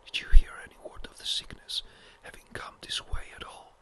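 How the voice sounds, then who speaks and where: Whisper-reader doing a brisk old rector. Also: pushed and bright. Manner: brisk, a little impatient. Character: fretful elderly village rector, a man.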